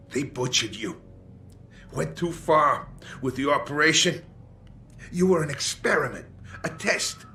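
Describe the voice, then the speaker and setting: A man's voice: mid-range voice, sharp tone, a little hiss or hum. An actor playing an embittered, sardonic male mercenary in a cinematic video game, hard-boiled and out for revenge. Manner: sardonic, profane, defiant.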